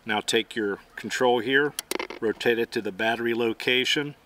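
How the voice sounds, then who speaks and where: A man's voice: medium pitch, normal speed, pushed and bright, a little hiss or hum. A middle-aged man giving methodical, plainspoken how-to instruction outdoors as he demonstrates gear. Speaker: host and demonstrator.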